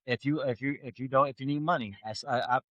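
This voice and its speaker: A man's voice: medium pitch, fast talker, a bit bright, no echo, lo-fi sound. Emphatic man in his forties, close and dry. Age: 40s. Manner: emphatic, repetitive, stammers on restarts. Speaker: podcast co-host. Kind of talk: casual motivational chat.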